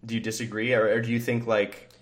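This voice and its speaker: Low-voiced rambling podcast co-host, a man. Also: level tone, fast talker. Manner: rambling, lots of fillers, laughs easily. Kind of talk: casual chat.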